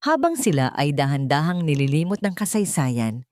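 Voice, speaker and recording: Female story narrator, lowish pitch, solemn, measured, dramatic scripted delivery, very dry recording. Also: big pitch swings, a little mellow, no room sound.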